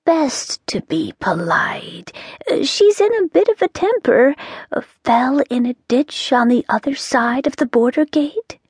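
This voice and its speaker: A woman's voice: fairly even intonation, unhurried, hardly any pauses, darkish tone, husky, no echo, clean studio sound. A woman doing a fluttery, whispering witch. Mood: confiding. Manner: high, fluttery, drops to whispers. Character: fussy, confiding middle-aged witch.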